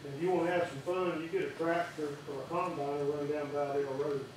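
Brief: older farmer, blunt and plainspoken, echoey room, far mic. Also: male voice, a little high, steady tone, fairly quick, background noise. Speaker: public hearing speaker, farmer. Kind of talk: public comment at a meeting. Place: large public meeting room.

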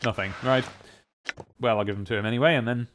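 A man's voice: mid-range voice, fairly even intonation, fast, fairly bright tone, slight background noise. A young British man giving casual, wry game commentary with rambling asides and bits of dramatic reading, close on a desk microphone. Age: young adult. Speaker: let's play host. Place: small indoor room at a desk. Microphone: desk microphone with pop filter, close. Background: game music.

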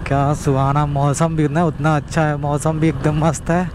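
A young man giving casual, excited running commentary to viewers while riding a motorbike outdoors. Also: on the high side, normal speed. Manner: excited, chatty, talks to viewers. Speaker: travel vlogger. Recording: road and traffic noise behind.

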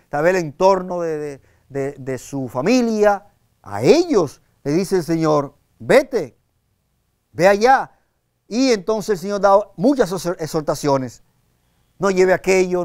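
A Dominican man in his forties preaches on a bright TV studio set, his voice pitched notably high for a man. He talks expressively, leaning on repeated phrases and quick rhetorical questions to the audience, picked up cleanly by a lapel mic. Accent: Dominican Spanish. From Dominican Republic. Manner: expressive, gestures broadly, asks listeners rhetorical questions. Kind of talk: televised sermon to camera.

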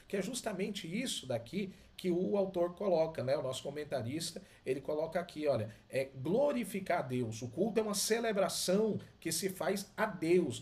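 A man's voice: fairly high pitch, darkish tone. A middle-aged man with a Brazilian accent, teaching a Bible lesson in an emphatic, didactic way that repeats key words. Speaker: Sunday school teacher and pastor. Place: small indoor study with bookshelves.

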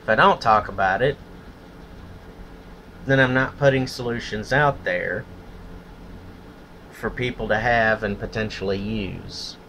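A man's voice: on the low side, measured pace, pauses often, pushed and bright, slight background noise, poor audio. A man delivering a blunt, profane, contemptuous opinion rant as a solo vlogger.

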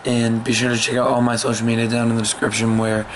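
A young man with a hoarse, worn-out voice rambles casually to a camera held at arm's length in his small bedroom. His speech is full of ums and restarts, and a loud, constant noise sits under the recording. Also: medium pitch, completely flat delivery, fast. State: hoarse, lost voice. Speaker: vlogger. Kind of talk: casual vlog talk to camera.

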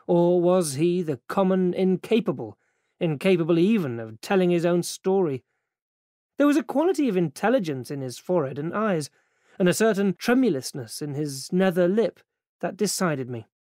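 A man's voice: high-pitched, sing-song, moderate pace, darkish tone, no echo, studio quality. A man with an English accent narrating a first-person literary story for an audiobook.

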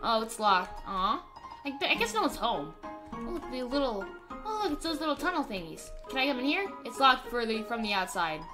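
A woman's voice: fairly high pitch, big pitch swings, normal speed, over music. A woman doing casual, playful roleplay commentary over a video game, rambling and stammering as she goes. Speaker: gaming YouTuber.

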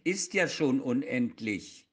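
A man's voice: mid-range voice, lively intonation, slow, fairly bright tone. A man playing a pedantic, stubborn, scheming husband in an amateur audio drama. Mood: exasperated, insistent.

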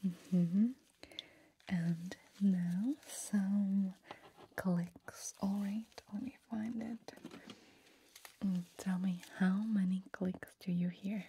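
A woman doing a gentle, reassuring ASMR roleplay as an ear examiner, mostly in a breathy whisper with short pauses. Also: medium pitch, flat delivery, slight background noise.

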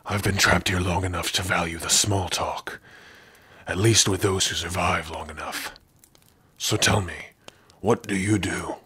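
A man voicing a big, gruff orc prisoner in an ASMR roleplay, brooding and sardonic, with a husky, rough voice. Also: sits low, steady tone, a little slow, pushed and bright, dry recording, exaggerated voice. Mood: guarded, weary. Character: big gruff male orc prisoner, guarded but lonely. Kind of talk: ASMR roleplay addressed to the listener.

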